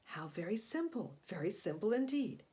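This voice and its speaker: A woman voicing a gentle, kindly male sea serpent in a storybook, musing and self-effacing. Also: mid-range voice, big pitch swings, moderate pace, slightly rough. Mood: pleased.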